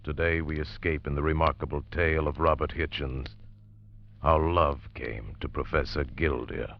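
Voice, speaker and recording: A man's voice: extremely low pitch, steady tone, normal speed, creaky. A male announcer giving a portentous, dramatic introduction to a radio play. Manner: ominous, portentous. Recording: dull 1940s radio broadcast with music.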